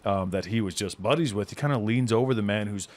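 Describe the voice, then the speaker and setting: A man's voice: fairly low pitch, fast talker. A man running a tabletop game as game master, narrating scenes in a casual, conversational, descriptive way.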